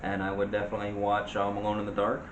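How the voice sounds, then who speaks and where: A man with a fairly low voice chats about movies as a co-host, halting and wandering through his thoughts. The room echoes around him and there is slight background noise. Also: monotone. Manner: halting, rambling delivery. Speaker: co-host of a movie discussion show. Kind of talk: casual chat about movies. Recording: roomy echo with light background noise.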